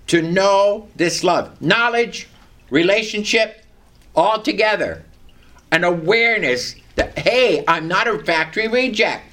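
An older man preaching with a loud voice that carries and a lively, swooping delivery. He talks slowly and deliberately, moving between scripture readings and personal stories. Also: high voice. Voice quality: loud, carrying voice. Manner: animated storytelling, reads scripture aloud. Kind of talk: sermon with personal testimony.

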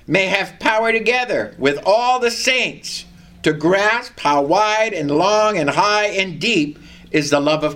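An older man preaching with a loud voice that carries and a lively, swooping delivery. He talks slowly and deliberately, moving between scripture readings and personal stories. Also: high-pitched. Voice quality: loud, carrying voice. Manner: animated storytelling, reads scripture aloud. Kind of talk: sermon with personal testimony.

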